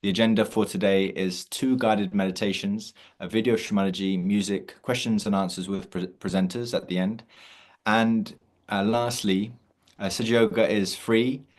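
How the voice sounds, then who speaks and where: Relaxed man hosting a video-call meditation. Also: fairly low pitch, normal speed. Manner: relaxed, many fillers and restarts. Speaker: meditation session host. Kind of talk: casual online session introduction.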